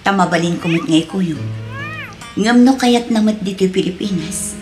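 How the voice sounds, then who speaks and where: A woman's voice: mid-range voice, moderate pace, darkish tone, close to an everyday voice. A woman with a Filipino accent playing a hurt but resolute young mother in an Ilocano radio drama. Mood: sad, resolute. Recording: music behind the voice.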